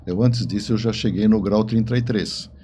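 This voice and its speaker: Mellow Brazilian man in his seventies, noisy background. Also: fairly low pitch, fairly even intonation, moderate pace. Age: 70s. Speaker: interview guest, writer and engineer. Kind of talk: conversational interview answers.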